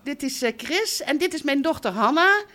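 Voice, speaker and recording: A middle-aged woman playing the earnest mother of grown children in a radio drama, halting and often correcting herself. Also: high voice, lively intonation, sharp tone. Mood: fussing, polite. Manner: halting, evasive, self-correcting. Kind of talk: naturalistic radio drama acting.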